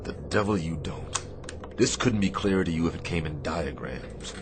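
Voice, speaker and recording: An actor playing a stern, demanding but caring Navy captain in a radio drama, with a commanding, sardonic delivery. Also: male voice, low voice, lively intonation. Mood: angry, scolding. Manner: commanding, sardonic, deliberate phrasing. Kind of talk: radio theatre acting. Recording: clear background noise, shipboard ambience.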